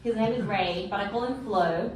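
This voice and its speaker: A woman's voice: mid-range voice, normal speed. A woman with an Australian accent doing a stand-up set in a small club, bubbly and self-deprecating. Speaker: stand-up comedian. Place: small comedy club stage indoors. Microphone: stage mic, heard from an audience camera. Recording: distant, echoey club audio with audience noise.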